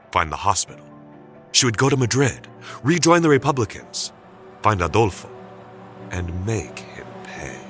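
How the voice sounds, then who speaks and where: A man narrating a scripted story dramatically, with suspenseful, deliberate phrasing. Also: sits low, fairly bright tone.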